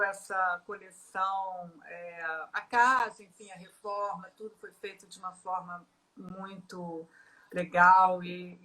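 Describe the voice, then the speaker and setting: A middle-aged woman, an artist in a conversational interview, talking with many pauses, fillers and restarts. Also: medium pitch, bright, forceful tone, low-quality recording.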